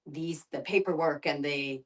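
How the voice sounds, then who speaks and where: A woman facilitating a group discussion, speaking conversationally and reflectively, often pausing to find her words. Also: low-pitched. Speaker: guest facilitator, end-of-life doula and filmmaker.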